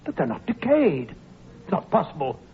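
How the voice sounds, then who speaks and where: A jittery, fretful companion in an old radio play, his high voice swooping up and down. The sound is thin and tinny like an old broadcast, with faint hiss behind. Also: very animated, normal speed, breathy edge, full character voice. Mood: horrified. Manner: jittery, fretful, easily alarmed. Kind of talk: 1940s radio acting.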